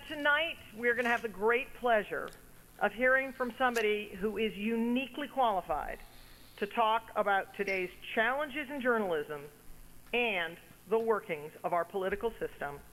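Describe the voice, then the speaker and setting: Female academic leader, formal speech of introduction, bright projecting tone, long hall reverb. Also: mid-range voice, normal speed, slight background noise. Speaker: university provost introducing a speaker. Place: large hall. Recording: hall reverb from an event venue.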